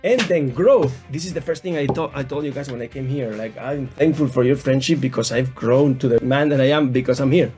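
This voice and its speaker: A man's voice: medium pitch, brisk, hardly any pauses, over music. Spanish-accented guest man, earnest. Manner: earnest, swears casually. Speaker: podcast guest. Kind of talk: casual podcast storytelling.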